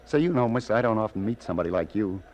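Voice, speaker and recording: A man's voice: on the low side, expressive, very fast, a little mellow, lightly acted. An American man playing a world-weary but sympathetic police lieutenant in a 1940s radio crime drama, with a clipped, wry, musing delivery. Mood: kindly, sincere. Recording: old radio broadcast, faint music.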